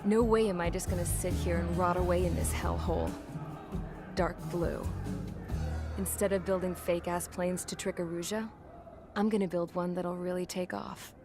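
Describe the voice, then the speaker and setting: A woman's voice: on the low side, fairly even intonation, normal speed, natural voice. An actress playing a tough, foul-mouthed female prisoner mechanic in a video game, narrating cutscenes with blunt, wry slang. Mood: defiant. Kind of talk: scripted first-person cutscene narration. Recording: loud music under the voice. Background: loud game soundtrack music.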